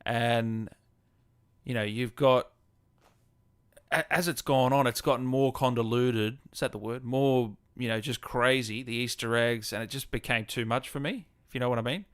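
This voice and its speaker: A man's voice: mid-range voice, fairly even intonation, normal speed, clear, resonant tone, no echo. A man hosting a live gaming stream, chatting casually and answering viewers in an informal, matey way. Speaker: live stream host and gaming content creator. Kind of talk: casual chat, reading and answering viewer comments.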